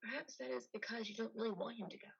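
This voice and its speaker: An actress playing a calm, probing therapist in a remote play reading, speaking in measured, formal phrases. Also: female voice, on the high side, creaky, slightly rough, lo-fi sound, heavily acted.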